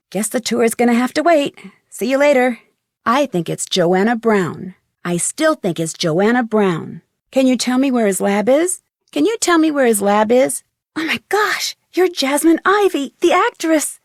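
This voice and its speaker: Polite, inquisitive young female sleuth for a mystery game: higher voice, clear diction, close dry studio sound. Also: dry recording. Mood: starstruck. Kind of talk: scripted video game dialogue.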